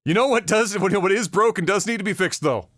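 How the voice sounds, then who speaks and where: A man's voice: high voice, fast talker, a bit bright, no echo, studio quality. A man co-hosting a casual gaming news podcast, ranting wryly and swearing freely. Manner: profane, wry ranting. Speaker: podcast co-host.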